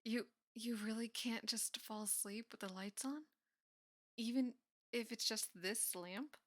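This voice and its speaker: A young woman playing a teasing, affectionate college best friend in an intimate roleplay audio, speaking softly and often slipping into whispers. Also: on the high side, measured pace, no echo, close to an everyday voice. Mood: amused, reluctant. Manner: soft, often dropping into whispers, sighing. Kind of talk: intimate roleplay audio acting, casual and soft.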